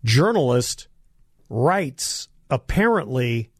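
A hoarse man hosting a talk radio show, delivering a combative, sarcastic monologue and coughing now and then. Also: mid-range voice, sing-song, takes it slowly, no echo, clean studio sound.

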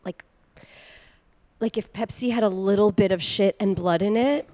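A woman's voice: medium pitch, moderate pace, dark tone, natural voice. A woman playing a credulous, blunt, slightly dim wife in an improvised comedy radio play, earnest and plain-spoken. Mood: wondering.

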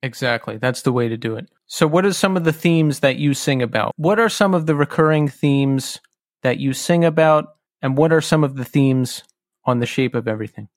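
A man's voice: mid-range voice, moderate pace, no echo, clean studio sound. A man hosting a podcast interview, chatty and self-deprecating, with nervous energy. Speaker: podcast host.

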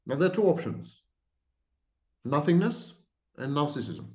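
A man's voice: on the high side, big pitch swings, measured pace, a little mellow. Emphatic lecturing man, roomy dull sound. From Israel. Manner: emphatic, repetitive, sweeping declarations. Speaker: psychology professor guest. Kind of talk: lecturing interview answers.

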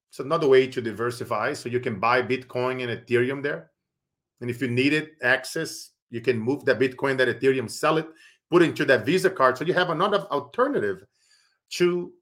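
A middle-aged man with a Brazilian Portuguese accent talks at a mid pitch in a casual, persuasive way. He preaches and urges like a motivational speaker, with many fillers and small restarts. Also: fairly bright tone. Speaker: podcast host. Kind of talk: motivational podcast monologue with sponsor plugs.

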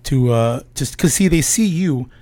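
A man's voice: medium pitch, expressive, a little slow, mellow. A middle-aged man hosting a podcast, bantering with jokes and swearing. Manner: joking, profane banter. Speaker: podcast host. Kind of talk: casual podcast chat.

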